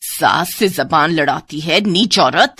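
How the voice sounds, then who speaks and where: A woman voicing a bossy, taunting middle-aged mother-in-law in a moral-story cartoon, with a sharp, nagging manner. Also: low-pitched, animated, slow pace, breathy edge, no echo, exaggerated voice. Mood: scolding.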